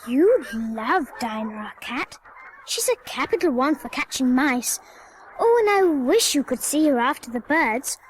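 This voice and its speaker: A woman's voice: sits high, big pitch swings, unhurried, a little hiss or hum. A woman playing a polite, curious young girl in a storybook recording, prim and wondering. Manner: prim, wondering, well-spoken. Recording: long reverb.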